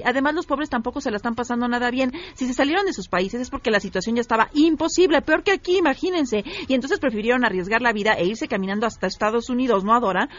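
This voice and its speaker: Woman doing a high squeaky fresa girl from mexico city, talks a mile a minute, full of english catchwords, dull radio sound. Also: close to an everyday voice. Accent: Mexico City posh slang. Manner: chatty valley-girl drawl peppered with English catchwords. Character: posh gossipy young Mexican woman, airheaded and self-absorbed. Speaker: comic character on a radio show.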